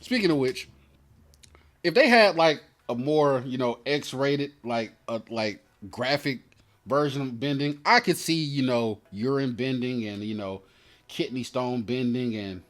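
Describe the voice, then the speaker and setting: A man giving casual, rambling commentary as host while opening card packs, slangy and joking with mock-aggrieved asides. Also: medium pitch. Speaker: host of a trading card pack-opening show.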